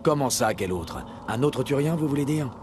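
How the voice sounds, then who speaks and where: An actor playing a stern, controlled military commander in a video game, terse and businesslike. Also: male voice, mid-range voice, lively intonation, normal speed, background noise, lightly acted. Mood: stern, probing. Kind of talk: dubbed video game cutscene acting.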